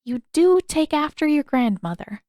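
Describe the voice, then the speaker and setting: A woman voicing a gentle, wistful elderly female healer in an audiobook, with measured, formal, reflective phrasing. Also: high-pitched, very animated, dry recording, studio quality, voice pushed up. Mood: gentle, thoughtful. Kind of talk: audiobook character dialogue.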